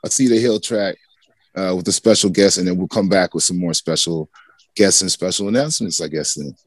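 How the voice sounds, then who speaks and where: Slangy hip hop podcast host, dry sound. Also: male voice, low-pitched, fairly quick, breathy edge, dry recording. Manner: hyped, slangy, talks over guest. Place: home studio with posters behind.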